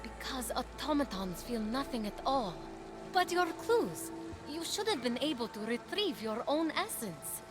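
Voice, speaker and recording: A woman's voice: sits high, sing-song, a little slow. A woman playing a brave, eager and caring young heroine in a video game cutscene. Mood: concerned, resolute. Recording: loud game music underneath.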